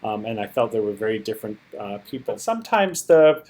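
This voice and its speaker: A man's voice: fairly low pitch, sing-song, fairly quick, a little mellow. A man who is a native English speaker, giving unscripted, encouraging feedback as a competition judge in a hesitant, rambling way.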